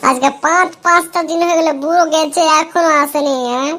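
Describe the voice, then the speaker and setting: A woman's voice: very high-pitched, fairly even intonation, fairly quick, a bit bright, a little hiss or hum. A woman doing a fiery old cartoon mother. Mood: suspicious. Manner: scolding, threatening. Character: fiery older mother, furious at her husband. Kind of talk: cartoon dubbing. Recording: clear music behind.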